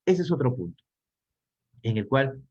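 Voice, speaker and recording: Brief: Peruvian male psychologist, mid-pitched, didactic lecturer asking rhetorical questions, slightly muffled audio. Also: sing-song, measured pace, darkish tone. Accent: Peruvian Spanish. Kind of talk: online conference talk.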